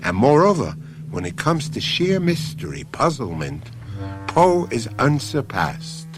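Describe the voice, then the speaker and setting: A man narrating as host of a radio mystery drama, theatrical and measured, with deliberate pauses. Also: medium pitch, huge pitch swings, music in the background.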